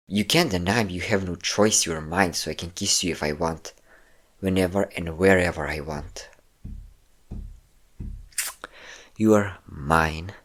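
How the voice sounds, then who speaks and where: A man's voice: low voice, a bit bright. A man playing a possessive, domineering vampire lover, teasing and commanding the listener in an intimate audio roleplay. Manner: teasing, commanding, breathy whispers at times.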